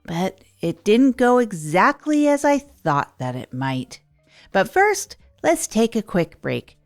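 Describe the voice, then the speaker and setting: Woman playing hapless radio host, earnest, self-deprecating, rambling, animated intonation, comedy audio drama, soft music behind. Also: mid-range voice, slow. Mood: hopeful, rambling. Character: Tog Chesterfield, earnest, hapless radio host rebuilding their life. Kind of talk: improvised comedy audio drama monologue, late-night radio parody.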